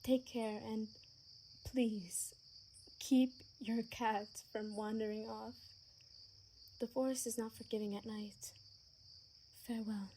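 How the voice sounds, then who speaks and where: A woman playing a gentle, sheltered young female vampire in an ASMR roleplay, speaking softly and hesitantly, at times in a breathy whisper. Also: a little high, steady tone, slow, long pauses, slight background noise. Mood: reserved.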